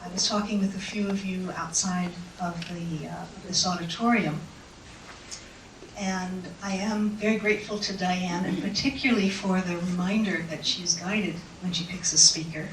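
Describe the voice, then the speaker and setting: A woman giving a personal talk to an audience in an auditorium, speaking through a microphone in an unhurried, reflective way with pauses. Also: lowish voice, steady tone, recorded from a distance, a little hiss or hum. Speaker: invited speaker. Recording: echoey hall mic heard from afar.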